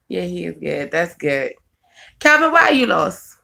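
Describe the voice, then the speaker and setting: A woman's voice: on the low side, gravelly, pushed and bright. A woman, chatting casually on a live stream, answering viewers by name and laughing often. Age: 20s. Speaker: vlogger reading live chat.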